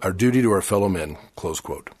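An older man from Chile giving a formal church sermon in a measured, earnest way. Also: low voice, expressive, darkish tone, breathy edge. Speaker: church speaker.

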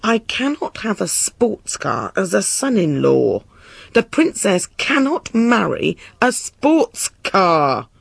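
A reader voicing an irascible, bossy old king in a storybook, shouting indignantly and emphatically. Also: medium pitch, sing-song, a little fast, vocal fry, hardly any pauses, slightly rough, no room sound, full character voice. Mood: exasperated. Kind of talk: storybook character voice.

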